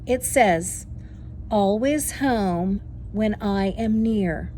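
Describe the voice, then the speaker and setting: A woman voicing a calm, helpful elderly grandmother with a thoughtful manner in a storybook reading. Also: medium pitch, very slow, a little hiss or hum. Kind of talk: children's storybook read-aloud.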